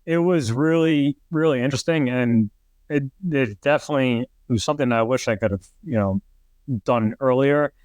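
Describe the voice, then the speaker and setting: A man's voice: medium pitch, moderate pace, darkish tone, clean tone, dry recording. A middle-aged man with a New York accent, a retired fire captain telling rambling stories as a podcast guest and acting out what people said. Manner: rambling, heavy use of "like", acts out quotes. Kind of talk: casual podcast storytelling.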